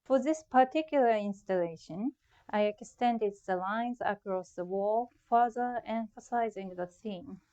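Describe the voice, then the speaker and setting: A woman's voice: mid-range voice, measured pace, dark tone, lo-fi sound. A middle-aged woman from Japan with a Japanese accent, giving a prepared, reflective talk about her own art. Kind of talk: prepared artist talk presentation.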